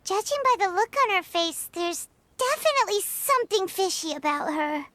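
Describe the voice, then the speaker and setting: A woman's voice: extremely high pitch, very animated, moderate pace, slight background noise, full character voice. A woman voicing a tiny, chirpy, nosy female companion in a video game, with a bubbly sing-song manner. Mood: suspicious. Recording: game audio with loud music behind.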